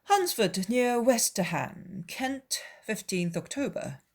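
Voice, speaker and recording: A self-important young clergyman as a woman reader puts him on, reciting a formal letter in a dramatized audiobook. He is pompous and obsequious, with deliberate, ornate phrasing. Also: a little high, lively intonation, darkish tone, voice pushed up, exaggerated voice.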